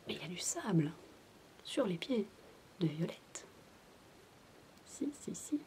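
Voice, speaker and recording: Casting: woman, ASMR babysitter, breathy whisper and soft speech, chatty bedtime storytelling, faintly noisy homemade recording. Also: lowish voice, very animated, slow pace. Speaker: ASMR roleplayer playing a babysitter. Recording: noisy homemade recording without binaural mic.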